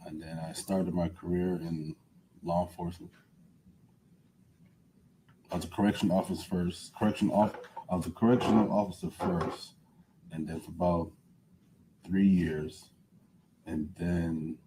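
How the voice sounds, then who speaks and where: A man in his thirties from Connecticut with a slight Jamaican accent, testifying as a witness in short, quiet answers. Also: sits low, little pitch movement, measured pace, long pauses, slight background noise, poor audio. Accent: slight Jamaican on some words. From Connecticut, USA. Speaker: witness, former police officer. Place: courtroom witness stand.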